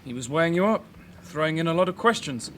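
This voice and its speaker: An actor playing a young, deferential but cheeky detective constable in a radio drama. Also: male voice, fairly high pitch, lively intonation, fast talker, a bit bright, a little hiss or hum. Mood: casual, dry. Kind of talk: naturalistic radio drama acting.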